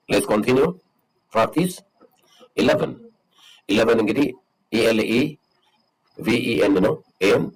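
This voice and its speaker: A man with an Ethiopian accent and a husky voice, teaching a bilingual English lesson and drilling words and phrases slowly. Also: fairly low pitch, rough recording. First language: Amharic. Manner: switches between Amharic and English, slow drilled English.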